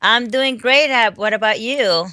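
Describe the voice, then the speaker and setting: A middle-aged woman from the Dominican Republic, a fitness coach speaking haltingly with many restarts in a phone interview. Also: on the high side, sharp tone, dry recording, studio quality. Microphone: phone call.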